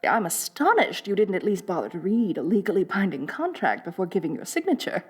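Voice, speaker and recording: A woman's voice: mid-range voice, very animated, a little fast, slightly rough. A woman playing a rich, bossy lamia mistress in a roleplay audio drama, haughty and condescending with affected elegance. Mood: mock pity, disdainful. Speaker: wealthy mistress addressing a new servant.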